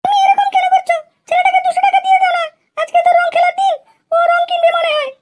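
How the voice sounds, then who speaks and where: A woman voicing a protesting village housewife in an amateur comedy cartoon, whiny, with loud noise and clicks on the recording. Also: extremely high pitch, little pitch movement, quick pace. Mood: questioning.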